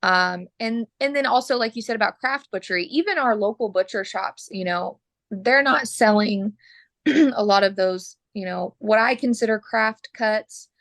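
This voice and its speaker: A woman from Oklahoma, a butcher, talking frankly as a podcast guest while losing her voice and clearing her throat. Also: medium pitch, moderate pace, fairly bright tone, dry recording. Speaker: butcher and mobile processor owner.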